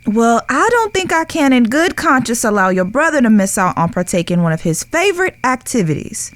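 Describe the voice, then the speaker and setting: A woman's voice: on the high side, big pitch swings, a little fast. A woman acting in a western audio drama as a poised, playful and insistent lady with a genteel, formal manner. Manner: genteel, formal, gently teasing. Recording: music under the voice.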